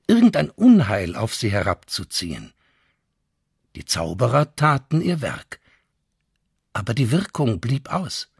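A man's voice: medium pitch, slightly rough, no echo, clean studio sound. A man giving a dramatic scripted reading of historical chronicles. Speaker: reader of historical source texts.

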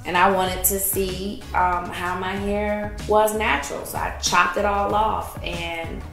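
A woman's voice: medium pitch, level tone, takes it slowly, creaky, fairly bright tone, soft background music. Bubbly woman chatting in an echoey room. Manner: bubbly, enthusiastic. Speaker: actress sharing hair tips. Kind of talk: casual talk to camera.

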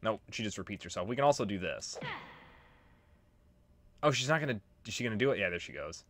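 A man's voice: on the low side, very animated, quick pace, a bit bright, a little hiss or hum. A man giving casual commentary as he plays through a video game. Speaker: Let's Play commentator. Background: game music.

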